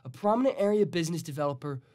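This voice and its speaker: A man's voice: on the high side, expressive, very fast, mellow, no room sound. A young American man delivering broadcast news as a student TV reporter, in a formal announcer-like manner. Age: young adult.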